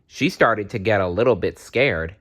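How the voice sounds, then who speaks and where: A man's voice: fairly low pitch, lively intonation, moderate pace, fairly bright tone, slightly rough. A man reading a children's storybook aloud expressively. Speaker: storybook reader.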